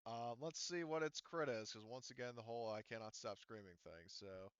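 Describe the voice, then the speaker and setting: A man's voice: medium pitch, normal speed, fairly bright tone, clean tone, dry recording, dull audio. A man acting as game master, casually narrating a tabletop roleplaying game, often stopping to correct himself.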